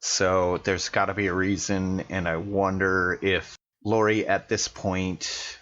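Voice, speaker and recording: Low-voiced man playing a tabletop game. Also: flat delivery, slow, fairly bright tone. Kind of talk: casual game-table talk, thinking aloud. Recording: slightly dull sound with faint noise.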